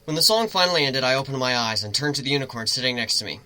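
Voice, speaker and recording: Guy narrating a story out loud, really bright forceful voice, average pitch, amateur dramatic reading. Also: expressive, very fast, poor audio.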